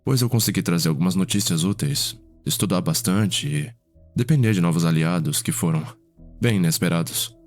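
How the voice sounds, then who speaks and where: A man playing a composed, fond vampire healer and elder brother in an ASMR roleplay, speaking in a measured, formal way. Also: low-pitched, a little mellow, faint music behind, close to an everyday voice. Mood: composed, weary.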